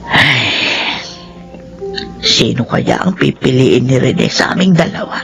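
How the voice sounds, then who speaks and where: A woman's voice: a bass voice, lively intonation, slow, vocal fry, over music, full character voice. An actress playing a timid, self-effacing grandmother of seventy in a radio drama, speaking in a hesitant, fretful way. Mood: anxious. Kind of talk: emotional radio drama acting.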